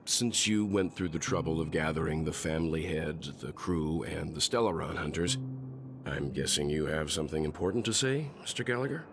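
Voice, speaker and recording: An actor playing a shrewd, courteous older scholar in a video game, speaking in a measured, formal way. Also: male voice, extremely low pitch, noisy background. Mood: composed, probing. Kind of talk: dramatic video game voice acting.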